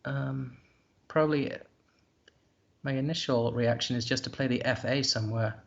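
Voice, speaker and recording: A man's voice: mid-range voice, fairly even intonation, moderate pace, creaky, highs cut off. A man commentating a live board game, thinking aloud in a hesitant, musing way.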